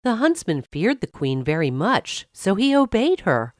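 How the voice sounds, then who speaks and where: Woman, children's story narration, slow careful phrasing, animated intonation, dry studio-like sound. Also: mid-range voice, no room sound. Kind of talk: scripted children's fairy-tale narration.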